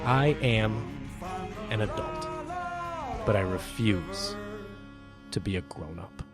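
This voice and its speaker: Male host-narrator for story podcast: reflective, sincere, very varied intonation, clear music bed. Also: fairly low pitch, sing-song, slow pace. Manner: earnest, reflective. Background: music under the voice.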